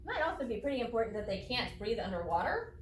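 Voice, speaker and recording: A woman teacher reading aloud to children and explaining each step in a deliberate, instructive way. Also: a little high, sing-song, slight background noise. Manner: deliberate, explanatory, spelling out steps for young pupils. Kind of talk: children's read-aloud lesson with think-aloud commentary. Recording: roomy echo, distant from microphone.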